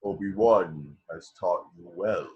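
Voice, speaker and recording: Man as a deep, husky dark villain lord. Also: slow pace, heavily acted. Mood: menacing. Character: menacing dark armored villain lord, stern and commanding. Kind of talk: film script table read. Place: home, video call. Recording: dull video-call audio.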